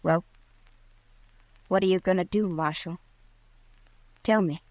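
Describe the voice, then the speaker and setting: A woman's voice: lowish voice, slow pace, a little mellow, no echo. An actress playing an anxious, loyal frontier woman in an old-time radio western, earnest and pleading. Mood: worried, pleading.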